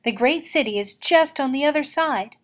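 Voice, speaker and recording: A woman's voice: high voice, animated, normal speed, voice pushed up. A woman voicing a proud, vain young woman in a storybook, with dramatic exclaiming. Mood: haughty.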